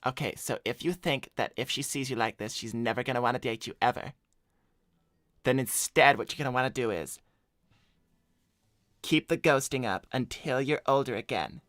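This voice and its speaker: A man's voice: mid-range voice, moderate pace, pauses often, a bit bright, no room sound, studio quality, natural voice. A man voicing a cocky, broke hedgehog slacker in an improvised cartoon livestream, casual, blunt and self-assured. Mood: coaching. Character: cocky, broke male hedgehog slacker giving shady advice. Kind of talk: improvised in-character livestream chat.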